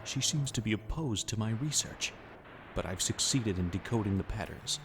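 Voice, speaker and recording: A man's voice: on the low side, lively intonation, normal speed, background noise. An actor playing an obsessed male researcher who reads out his notes in an earnest, expository way. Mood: driven. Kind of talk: fan manga dub, narrated notes.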